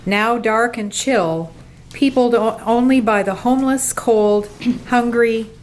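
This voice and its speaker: A woman narrating a radio play in a measured, scripted storybook style. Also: a little high, slight background noise.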